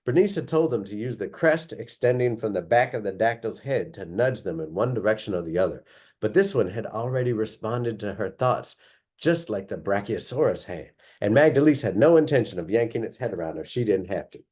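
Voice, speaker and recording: A man reading a story aloud as expressive, dramatic narration, drawing out descriptive phrases. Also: lowish voice, brisk.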